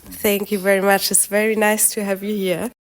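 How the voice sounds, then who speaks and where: A woman hosting a podcast at a middle pitch, speaking politely and carefully. Her voice is slightly hoarse from a cold. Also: level tone, a little hiss or hum. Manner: polite, measured, careful phrasing. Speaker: podcast host and interviewer. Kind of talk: scripted podcast intro and interview questions.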